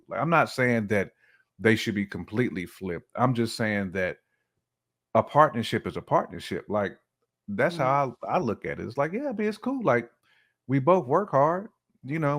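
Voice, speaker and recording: A man with a fairly low-pitched voice speaks in an informal discussion. He shares personal opinions conversationally, often repeating words to stress a point. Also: a bit bright.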